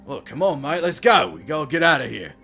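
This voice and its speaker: A man voicing gruff, agitated orc villagers in a tabletop game, speaking bluntly with halting, swearing urgency. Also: a little high, very animated, bright, forceful tone, soft background music, exaggerated voice. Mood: irritated, defiant. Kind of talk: in-character tabletop game voices.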